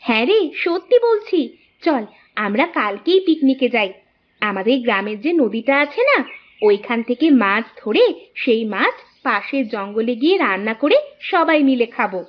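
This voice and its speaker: A woman's voice: on the high side, sing-song, unhurried. A woman voicing a bossy, curious young village girl, a big sister in a cartoon, with a Bangladeshi accent. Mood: curious, eager. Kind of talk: animated cartoon dialogue. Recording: dull lo-fi audio.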